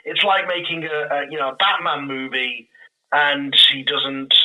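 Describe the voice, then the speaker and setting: A man talking casually and enthusiastically as a podcast guest, explaining things in an animated, rambling way. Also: a little high, unhurried, poor audio. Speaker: podcast guest, audio drama creator. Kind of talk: casual, enthusiastic fan chat.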